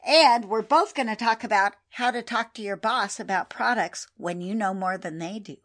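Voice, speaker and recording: A talkative, enthusiastic American woman in her fifties hosting a podcast in a chatty style. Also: fairly high pitch. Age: 50s. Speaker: podcast host, mobile pet groomer.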